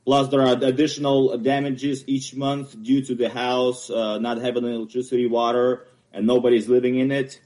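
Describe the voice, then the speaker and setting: A man from Ukraine with a Ukrainian accent, English not his first language, haltingly explaining his property appeal at a hearing. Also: mid-range voice, level tone, darkish tone. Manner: halting, searching for words. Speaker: homeowner appealing a property assessment.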